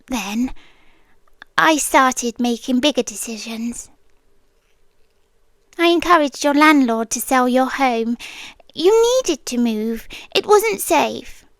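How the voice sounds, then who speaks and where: A British woman voicing an obsessively protective monster girlfriend in an intimate, soft-spoken ASMR roleplay, often slipping into whispers. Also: sits high, dry recording. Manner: slow, hushed, often dropping into whispers. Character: possessive, obsessively protective female monster girlfriend, stalker guardian. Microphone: close ASMR microphone, dry sound.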